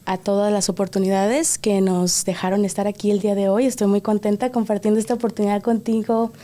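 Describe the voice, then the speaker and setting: A woman with a Mexican accent from Guadalajara, co-hosting a podcast in a calm, reflective casual chat. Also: medium pitch, brisk. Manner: calm, reflective, gently teasing. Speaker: podcast co-host. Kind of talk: casual chat and scripted intro.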